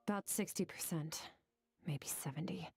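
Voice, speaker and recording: A woman voicing a stoic, self-critical young swordswoman in an anime video game, soft and breathy, speaking in terse, measured lines. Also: low-pitched, heavily acted. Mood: matter-of-fact. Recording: game music behind the voice.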